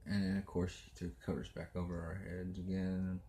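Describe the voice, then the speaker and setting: A man's voice: deep voice, level tone, a little fast, a crowd in the background. A man from Texas with a Texan accent, chatting casually and joking dryly, with many hesitations. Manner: dry, joking, hesitant with fillers. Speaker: partner of the host. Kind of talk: casual chat.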